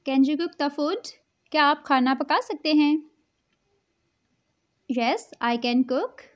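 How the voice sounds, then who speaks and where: A woman teaches English phrases, saying each one at a very slow, careful pace. Her voice sits high. She gives the lines quickly between the slow English repeats. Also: lively intonation. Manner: English spoken very slowly, Urdu lines quick. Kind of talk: slow English practice drill with Urdu translations.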